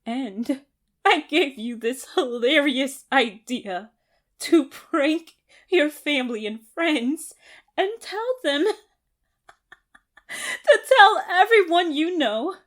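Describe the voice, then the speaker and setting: Casting: actress as obsessive female captor for ASMR roleplay. High voice, slow theatrical delivery, gloating and unhinged. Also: animated, full character voice. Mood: gloating, sweet, then manic glee. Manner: deliberate, theatrical, broken by giggles.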